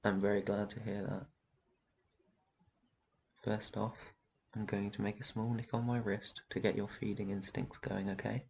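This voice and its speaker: An ancient vampire master, acted out by a man, with a low voice full of gravelly creak. He speaks in a gentle, protective and soothing way, as in an intimate roleplay ASMR. His delivery stays very even, with slight noise behind it. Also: unhurried, long pauses, exaggerated voice. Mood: gentle, encouraging. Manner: soft shushing, reassuring, tender endearments. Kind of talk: roleplay ASMR, intimate one-sided dialogue.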